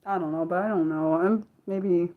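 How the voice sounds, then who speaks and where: An American woman hosting a casual livestream, rambling and laughing often, trailing off with self-deprecating asides and swinging from loud bursts to soft mumbles. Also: fairly low pitch, fairly even intonation, moderate pace, narrow, tinny audio. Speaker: livestream host. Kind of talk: casual unscripted livestream chat, answering viewers.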